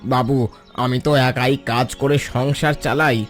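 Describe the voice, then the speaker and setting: A man's voice: medium pitch, fairly even intonation, slow pace, faint music behind, lightly acted. A man voicing a poor, long-suffering fisherman in a cartoon, earnest and dignified. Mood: pleading. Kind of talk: scripted cartoon dialogue.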